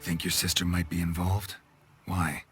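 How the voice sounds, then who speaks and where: A man playing a stoic, gruff monster-hunting detective in a fantasy game, with a gravelly voice and terse, clipped phrasing. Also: level tone, normal speed, fairly bright tone, soft background music. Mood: dry, probing. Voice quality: low gravelly, husky voice. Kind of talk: cinematic fantasy game dialogue.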